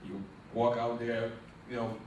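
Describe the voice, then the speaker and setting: A man's voice: medium pitch, level tone, unhurried. A middle-aged man gives a preacherly motivational speech to a crowd, asking them questions and building to emphatic peaks. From Liberia. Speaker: nonprofit founder giving a launch speech. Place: large echoey event hall. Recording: echoey hall, distant mic, noisy.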